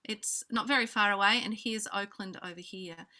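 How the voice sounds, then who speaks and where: Woman, Australian accent, conference host, bright tone, halting with fillers, video call. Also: medium pitch. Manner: warm hesitant introductions with many fillers. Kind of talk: welcoming remarks on a video call.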